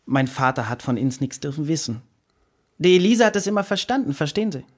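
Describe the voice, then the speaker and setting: An actor playing an anguished butcher's son who fears his father, in a radio drama, speaking haltingly with inverted word order and a gravelly, husky voice. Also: on the high side, lively intonation, dry recording, studio quality. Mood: anguished confession. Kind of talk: radio drama, confessional narration.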